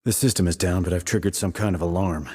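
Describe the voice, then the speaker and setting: A man playing a terse, calm military sniper in a video game, clipped and controlled, reporting in tactical radio calls. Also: quick pace, dry recording, studio quality. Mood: controlled, urgent. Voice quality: low, husky. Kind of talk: tactical radio call acting.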